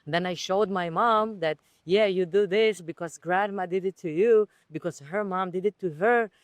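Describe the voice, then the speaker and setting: A woman's voice: fairly low pitch, normal speed. A woman from Ukraine speaks as a guest on a podcast, reflective and earnest about her own life. She sometimes stops and restarts a phrase mid-thought. The recording is dry, with the mic close and no noticeable room echo. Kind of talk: personal storytelling in conversation.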